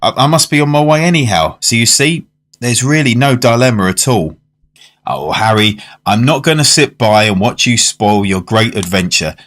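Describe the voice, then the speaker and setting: A man playing a polite, reflective diner in a radio drama, measured and understated, well-spoken. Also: medium pitch, lively intonation, fairly bright tone, close to an everyday voice. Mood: polite, deferential. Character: polite, reflective male diner narrating a macabre memory. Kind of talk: radio drama narration and dialogue. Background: music under the voice.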